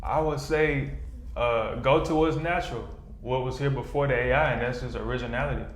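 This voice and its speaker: A man's voice: medium pitch, fairly quick, slight background noise. A man speaking casually as an interview guest, in a conversational way that often checks the listener is following. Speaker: filmmaker and actor, podcast guest. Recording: roomy, echoing room sound.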